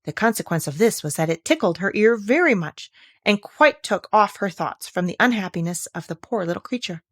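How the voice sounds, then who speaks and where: A woman reading a children's story aloud as an expressive narrator. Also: medium pitch, brisk, dry recording, studio quality. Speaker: audiobook reader.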